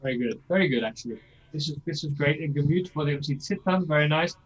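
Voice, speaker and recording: A man's voice: fairly high pitch, quick pace, lo-fi sound, a little hiss or hum. A man coaching a singer in a masterclass, explaining things and checking in with "yeah?" as he demonstrates lines himself. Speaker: vocal coach.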